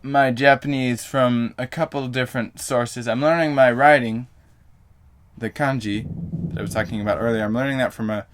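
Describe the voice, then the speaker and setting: A man's voice: medium pitch, steady tone, moderate pace. A young man vlogging to camera in a casual, rambling way, full of fillers and self-corrections.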